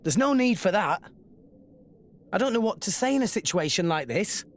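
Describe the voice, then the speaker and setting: A woman's voice: medium pitch, sing-song, moderate pace, slight background noise, natural voice. A woman voicing an earnest teenage boy in a video game, hesitant, awkward and easily tongue-tied. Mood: flustered. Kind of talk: video game cutscene acting.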